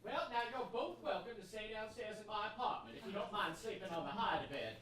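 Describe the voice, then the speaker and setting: A man's voice: high voice, sing-song, fairly quick, bright, forceful tone, exaggerated voice. A man playing a catty, wisecracking neighbor with a droll, teasing delivery in a live radio-style comedy. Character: Destiny, catty, wisecracking male neighbor and friend. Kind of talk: live old-time radio comedy acting. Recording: echoey distant stage, audience noise.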